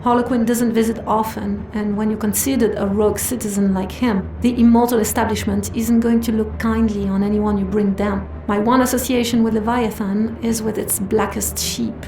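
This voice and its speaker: A woman's voice: medium pitch, fairly even intonation, normal speed, hardly any pauses, noisy background, lightly acted. An actress playing a frank, teasing woman thief with hidden wonder in a sci-fi audio drama. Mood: confiding. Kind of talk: full-cast sci-fi audio drama acting. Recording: roomy distant sound under music.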